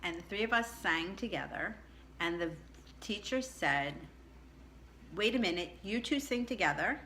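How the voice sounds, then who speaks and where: A middle-aged woman with a low contralto voice telling a candid personal story in a chatty way, laughing often. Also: expressive, moderate pace, sharp tone, a little hiss or hum, low-quality recording. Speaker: vlogger.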